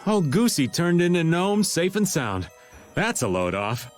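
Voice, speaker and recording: A wry middle-aged man in a fantasy game, laid-back and folksy. His pitch roams widely, with music clearly behind him. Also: sing-song, slow pace. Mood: easygoing, wry. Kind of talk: English game dub voice acting.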